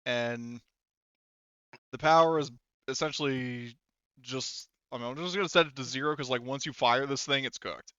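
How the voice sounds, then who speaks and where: A man's voice: mid-range voice, moderate pace, sharp tone, smooth, no room sound. Dull, dry-sounding man running a game. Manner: thinks aloud while explaining rules. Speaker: game master. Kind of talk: casual tabletop game refereeing.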